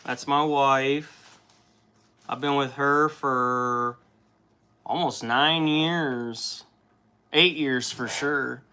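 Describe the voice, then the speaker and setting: A young man hosting a casual livestream while painting miniatures, chatty and at times loud and impassioned. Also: mid-range voice, steady tone, drawn-out words, pauses often, clean tone.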